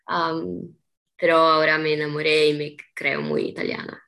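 A woman's voice: sits low, flat delivery, moderate pace, vocal fry, pushed and bright. A young woman from Peru, a guest in a casual interview, who hesitates often with ums and restarts. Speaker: interview guest, artist's model.

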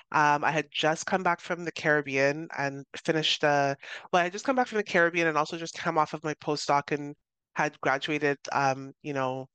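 A woman's voice: low-pitched, a bit bright, clean tone, no echo. A Canadian woman in her thirties, a guest researcher giving long, earnest answers in an interview, peppered with "you know" and self-corrections. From Toronto, Canada.

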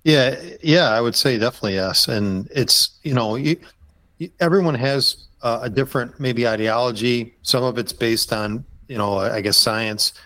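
A man's voice: mid-range voice, normal speed, bright, forceful tone, dry recording, poor audio. A man guesting on a podcast as a habitat consultant, talking in an easygoing, rambling way. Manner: easygoing, rambling, lots of "you know". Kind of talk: casual podcast interview.

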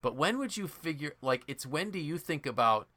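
A man's voice: on the high side, sing-song, normal speed, bright, forceful tone. An American man co-hosting a casual business podcast, explaining things through enthusiastic storytelling. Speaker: podcast co-host.

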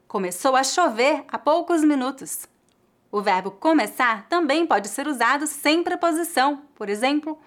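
A woman with a Brazilian Portuguese accent, teaching a scripted grammar lesson in a clear, measured way and spelling out each example slowly. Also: high voice, a bit bright.

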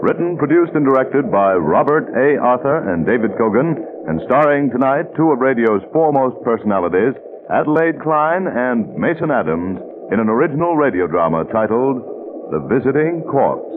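Network announcer, male, 1940s radio style, animated read, thin sound with clear noise. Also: medium pitch, moderate pace. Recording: noisy, tinny old radio broadcast.

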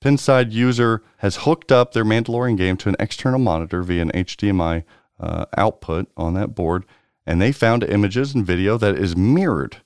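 A man's voice: on the low side, moderate pace, a little mellow, smooth, no echo, studio quality. A man co-hosting a podcast, chatting casually and rambling with frequent restarts. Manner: rambling, lots of restarts and fillers. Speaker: podcast co-host. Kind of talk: casual chat about pinball news.

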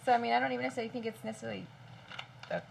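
Woman co-host, fast, heavy vocal fry, faint noise behind. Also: on the high side, level tone, darkish tone, poor audio. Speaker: podcast co-host. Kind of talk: casual chat.

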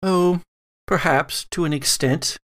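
A man voicing a quiet, steely grandmother in a tabletop game, measured and firm. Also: a little high, pitched above the performer's own voice.

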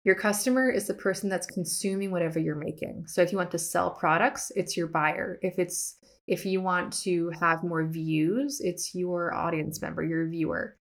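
Chatty lady art teacher on a podcast, kinda low voice, upbeat and goofy. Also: fairly even intonation, moderate pace. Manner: chatty, laughs at herself, upbeat emphasis. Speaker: podcast host and art educator. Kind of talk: casual teaching monologue.

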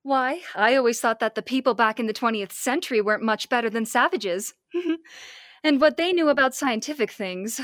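Young woman as high-voiced, curious radio-serial assistant. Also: normal speed, fairly bright tone, no room sound, studio quality, lightly acted. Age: young adult. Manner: inquisitive, wide-eyed. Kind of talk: old-time radio serial acting, by amateur school players.

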